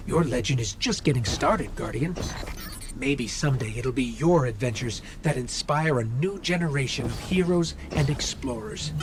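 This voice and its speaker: An actor playing a watchful, dry-witted companion who guides a hero through video game missions with wry encouragement. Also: male voice, a little high, background noise.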